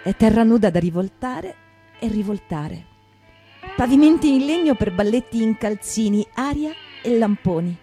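Warm-toned, higher-voiced woman reading over guitar. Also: lively intonation, normal speed. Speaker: stage performer. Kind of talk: dramatic reading of intimate diary-like prose. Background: plucked guitar music.

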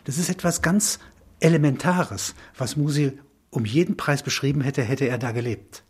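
A man with a markedly husky, breathy voice at an ordinary pitch speaks as a reflective intellectual in conversation. He muses in halting phrases, often checking himself with a short affirming word. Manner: musing, halting phrases punctuated with "ja". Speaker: interviewee, filmmaker.

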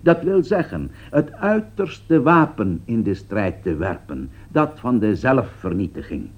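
Grave radio commentator, dramatically varied pitch. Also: male voice. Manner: solemn, formal, rhetorical. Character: grave male radio political commentator foretelling catastrophe. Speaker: political commentator. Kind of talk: scripted radio commentary in a radio play. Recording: dull, lo-fi radio play recording.

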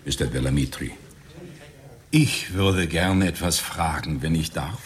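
A man playing a polite but persistent professor in a radio drama, formal and measured. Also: rumbling low, a crowd in the background. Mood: politely critical. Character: polite, persistent, dryly critical male university professor.

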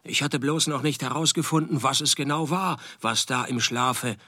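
A man playing a world-weary, sardonic private detective, narrating his case in a radio play in a dry, deadpan way. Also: mid-range voice, normal speed, noisy background, lightly acted.

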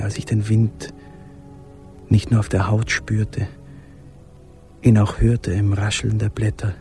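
Low-voiced, yearning man remembering. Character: man remembering secret nights in hiding, tender, yearning. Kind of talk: literary radio drama monologue. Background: music.

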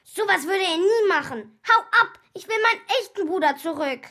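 An actress playing a defiant, sulky little sister in a children's radio play. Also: female voice, extremely high pitch, animated, moderate pace, bright, forceful tone, heavily acted.

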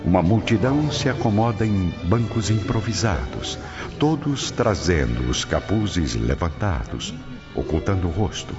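A man narrating a radio drama in a solemn, theatrical style. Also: low-pitched. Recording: dull, reverberant, music underneath.

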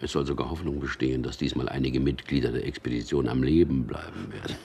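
A wry, cynical lover in a radio play. His voice is extremely low with a gravelly creak, and he speaks in an intimate, drily ironic way. Noise sits behind the recording. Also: steady tone, quick pace, darkish tone. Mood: teasing, wry. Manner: dry irony, intimate and conversational. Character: Peter, wry cynical man telling mock news to lover. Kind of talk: German radio drama acting.

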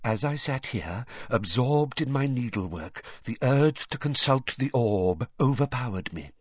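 A man voicing a theatrical woman divination professor who speaks in a hushed, mystical, dramatic way. The audio is slightly dull and dry. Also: mid-range voice, lively intonation, unhurried, breathy edge, no echo, voice pushed up.

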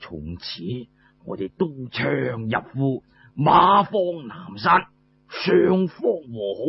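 A man playing a boastful, scheming warlord in Cantonese storytelling, talking in a pompous, drawn-out way. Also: mid-range voice, very animated, creaky, husky, faint music behind, voice pushed down, exaggerated voice. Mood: smug, expansive. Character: boastful scheming male warlord, drinking and gloating. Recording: muffled lo-fi recording.

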